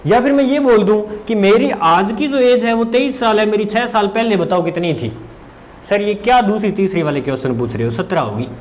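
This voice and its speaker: A man's voice: high voice, a little hiss or hum. A man teaching informally, repeating phrases for emphasis and answering his own questions as students would. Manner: mixes English terms into Hindi. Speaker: maths teacher. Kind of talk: informal exam-prep lesson.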